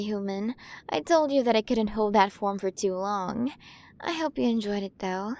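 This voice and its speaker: Woman playing a curious, high-voiced alien queen. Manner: fascinated, coaxing, addresses listener directly. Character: curious, playful alien galaxy queen, millions of years old. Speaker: ASMR roleplay voice performer. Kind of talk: ASMR roleplay, acted monologue to listener.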